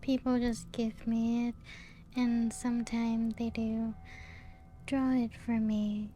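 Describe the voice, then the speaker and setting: A woman playing a genteel, serene, playful ancient vampire in a soft-spoken ASMR roleplay, with a breathy, often half-whispered voice and gentle lilt. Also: on the high side, little pitch movement. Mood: nostalgic, gentle. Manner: slow, unhurried, gently lilting storytelling. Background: music.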